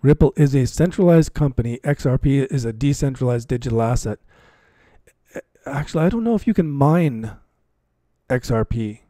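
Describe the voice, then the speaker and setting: A man's voice: mid-range voice, expressive, moderate pace, mellow, dry recording, studio quality. A man hosting a live stream, commenting casually and chatting with viewers, often repeating phrases for emphasis. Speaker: livestream host and cryptocurrency trading commentator.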